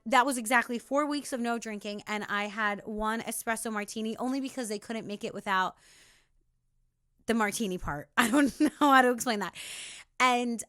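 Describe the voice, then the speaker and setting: A young woman with a raspy voice giving an emphatic motivational monologue as a podcast host, talking straight to the listener. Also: on the high side, fairly bright tone, dry recording, studio quality.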